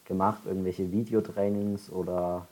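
Male interviewer, lowish pitch, soft mellow tone, casual conversational questions. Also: level tone, normal speed.

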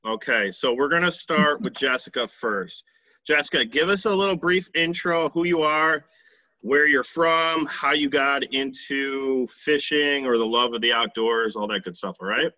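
A man's voice: mid-range voice, moderate pace, sharp tone, no echo. A man from upstate New York hosting a casual interview, teasing his guests with jokes. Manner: joking, teasing banter. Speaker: podcast host. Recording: dull lo-fi sound.

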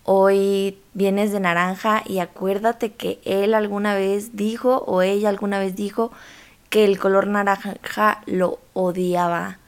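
A woman's voice: medium pitch, little pitch movement, unhurried, smooth. Mexican woman hosting a podcast. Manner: informal, addresses listener directly. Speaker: podcast host. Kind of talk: conversational podcast monologue.

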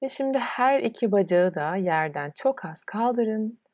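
A woman leading a guided relaxation as a yoga nidra instructor, speaking in a soothing, unhurried way with pauses between instructions. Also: medium pitch, lively intonation, a little mellow, no room sound, studio quality.

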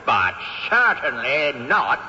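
A man's voice: medium pitch, big pitch swings, slow, pushed and bright, heavily acted. A man playing a crusty, wealthy old male ghost in a radio drama, speaking in a haughty drawl with chuckling asides. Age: older. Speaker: ghost character. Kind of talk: 1970s radio drama acting. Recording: dull old radio broadcast, faint hiss, echo.

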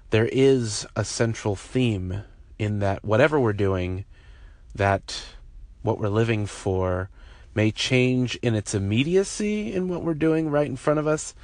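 A man's voice: lowish voice, a little mellow, dry recording. A man hosting a faith podcast, talking earnestly and loosely, with fillers and restarts. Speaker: podcast host. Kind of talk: casual faith podcast talk.

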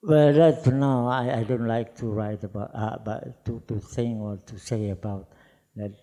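Halting gravelly older man. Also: medium pitch, steady tone, mellow. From Java, Indonesia. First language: Javanese. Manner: halting, searching for words, many restarts. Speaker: poet answering audience questions. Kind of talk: unscripted panel answers. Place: festival hall stage. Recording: long hall reverb.

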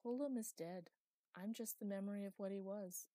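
A woman reading aloud, voicing a calm, emotionless old man who is only a lingering memory. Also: high-pitched, moderate pace, no room sound, studio quality, natural voice. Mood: serene. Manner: peaceful, emotionless.

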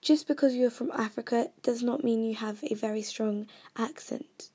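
A woman's voice: fairly high pitch, moderate pace, dark tone, slightly rough. A young woman from Kenya with a British accent, earnestly reading out her own movie and song reviews. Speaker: vlogger reviewing movies and music. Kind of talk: scripted review read aloud.

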